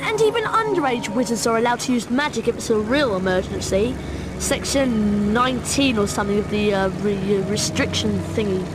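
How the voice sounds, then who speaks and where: A performer voicing an excitable, plain-spoken schoolboy wizard in a video game. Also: mid-range voice, fairly quick, music in the background, close to an everyday voice, poor audio. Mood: eager, defensive.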